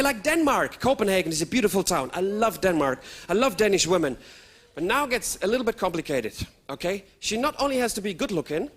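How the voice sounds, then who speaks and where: A man, performing stand-up comedy for a live audience with lively outbursts. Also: sits high. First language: German. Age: 30s. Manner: animated, with sudden loud outbursts and put-on voices. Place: stage before a live audience.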